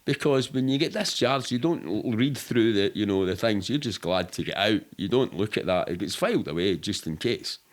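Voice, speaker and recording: A man's voice: on the low side, lively intonation, normal speed, hardly any pauses. A middle-aged man with a Glaswegian Scottish accent, telling anecdotes casually in a candid, rambling, sweary way. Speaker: podcast guest.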